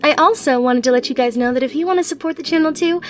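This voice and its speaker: A woman speaking as a channel host, giving a shout-out to her listeners. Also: high-pitched, quick pace, faint music behind.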